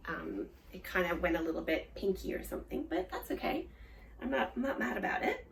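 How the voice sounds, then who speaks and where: A woman in her forties with an Australian accent hosts a knitting podcast, chatting casually with lots of ums and restarts, her voice a little hoarse from a cold. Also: medium pitch, very animated, a little fast, recorded from a distance, slight background noise. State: slightly hoarse, recovering from a cold. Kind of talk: casual show-and-tell chat.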